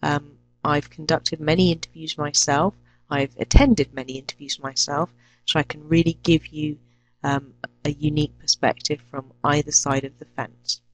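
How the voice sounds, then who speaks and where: English woman, 30s, teacher; low pitch, measured, clear delivery. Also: steady tone, rough recording. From England. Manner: clear, deliberate, lists items one by one. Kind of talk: self-introduction to a teaching channel.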